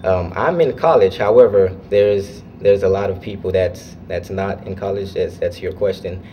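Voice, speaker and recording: A man's voice: low-pitched, mellow, slight background noise. A young man from Florida, a student club officer, answering interview questions earnestly with frequent restarts. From United States (Florida). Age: young adult. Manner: earnest, halting with restarts and fillers.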